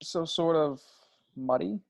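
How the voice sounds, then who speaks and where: Woman playing low, husky, awkward young man. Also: slow, a little mellow, exaggerated voice. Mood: awkward, blunt. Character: awkward, eager young male would-be inventor, nervous around women. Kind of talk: virtual table read of a comedy play.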